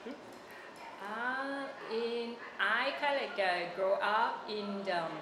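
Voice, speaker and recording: A woman from Thailand, a Thai speaker talking in English, being interviewed. She speaks haltingly and fills her pauses a lot while she hunts for words. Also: a little high. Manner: halting, many fillers, searching for words. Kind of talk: casual oral history interview. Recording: echoey room with noise.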